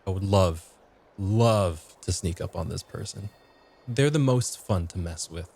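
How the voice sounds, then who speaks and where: A man's voice: low-pitched, animated, unhurried, a little mellow. A man playing a tabletop roleplaying game, narrating his character's actions from inside the role. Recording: music clearly playing behind the voice. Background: background music.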